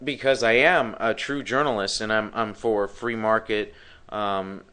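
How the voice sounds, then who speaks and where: A man's voice: on the low side, fairly even intonation, measured pace, pushed and bright, clear, resonant tone. A man hosting a live talk show who has partly lost his voice, speaking in a rambling way with many fillers and restarts. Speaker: podcast host.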